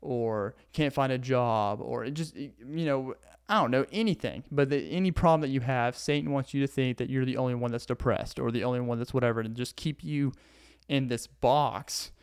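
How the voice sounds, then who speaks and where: A man's voice: medium pitch, moderate pace, smooth, no echo, clean studio sound. A young man from Arkansas with a Southern accent, hosting a casual solo podcast in a rambling, earnest way and laughing often, while sitting on the floor indoors. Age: young adult. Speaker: podcast host.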